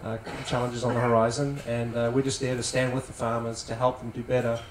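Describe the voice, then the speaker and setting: A man, a company executive, speaking smoothly and in a practiced way on a panel in a large tent. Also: medium pitch, flat delivery, a little fast. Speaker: senior vice president on a panel. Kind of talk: panel discussion. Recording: roomy sound from some distance, faint noise.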